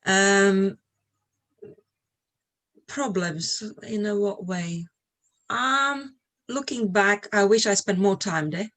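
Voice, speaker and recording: A woman's voice: mid-range voice, takes it slowly, pauses often, a bit bright. A middle-aged woman from Slovakia speaking English with a Slovak accent, chatting as an interview guest about her own life. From Slovakia, Eastern Europe. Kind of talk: casual conversational interview, personal recollections.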